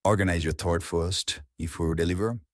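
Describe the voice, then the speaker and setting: A man with an Indian accent giving an informal instructional talk in an earnest, halting way, repeating words for emphasis. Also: sits low, fairly even intonation, no echo, studio quality. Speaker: public speaking coach.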